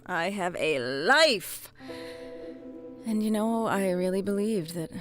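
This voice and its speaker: A woman's voice: mid-range voice, expressive, takes it slowly, soft background music. An actress playing a troubled, self-doubting middle-aged former policewoman, earnest and hesitant, in naturalistic audio drama dialogue. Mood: wistful, rueful. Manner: hesitant, stammering, apologetic.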